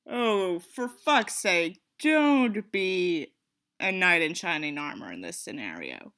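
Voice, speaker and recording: A woman's voice: fairly low pitch, very animated, slow pace, a bit bright. A woman reading a novel aloud with sardonic commentary, in an exasperated, sarcastic manner with sweary asides. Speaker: audiobook reader and commentator.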